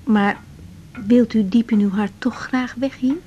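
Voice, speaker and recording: Actress as a direct young doctor, higher-pitched voice. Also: female voice, fairly even intonation, measured pace, dark tone, muffled recording, lightly acted. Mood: gentle, encouraging. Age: young adult. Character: direct, caring young female doctor with firm temper. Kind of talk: naturalistic Dutch radio drama acting. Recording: faint background noise.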